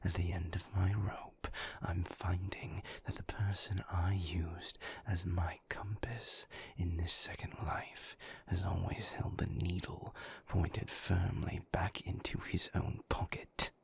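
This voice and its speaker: Moody male vampire lover, acted by a man, gravelly and very breathy, cutting and deliberate, intimate roleplay audio. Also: low voice, little pitch movement, dry recording, exaggerated voice. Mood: bitter, despairing. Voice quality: breathy, husky, gravelly half-whisper. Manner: sardonic, cutting, deliberate phrasing.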